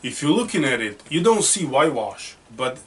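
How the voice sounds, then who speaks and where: A man's voice: mid-range voice, big pitch swings, moderate pace, fairly bright tone. A man co-hosting a casual movie podcast, talking eagerly and often over his co-host. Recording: distant mic with faint noise.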